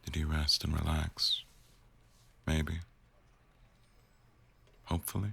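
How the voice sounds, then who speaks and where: A man playing a teasing, bossy but doting newsroom boss in an intimate boyfriend roleplay audio, with a wry, unhurried delivery. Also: extremely low pitch, completely flat delivery, creaky, sharp tone, husky, heavily acted. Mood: fond, teasing.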